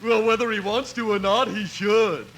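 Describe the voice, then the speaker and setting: High-voiced, cheeky store salesman. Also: steady tone, moderate pace. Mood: smug. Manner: polite, dryly insulting. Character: cheeky, unflappable male clothing salesman. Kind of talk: 1950s radio comedy acting. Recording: noisy old radio recording.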